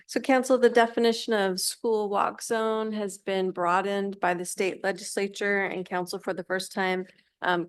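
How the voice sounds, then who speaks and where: Female staff presenter, formal council briefing, clear and polite, dry close sound. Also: medium pitch, no echo.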